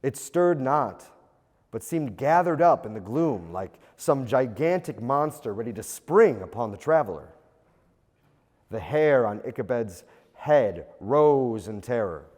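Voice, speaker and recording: A man's voice: medium pitch, a little slow. Theatrical male storyteller in a reverberant hall. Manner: theatrical, expressive, old-fashioned literary delivery. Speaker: storyteller, audiobook reader. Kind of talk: dramatic scripted narration of a classic ghost tale. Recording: long reverberant hall echo.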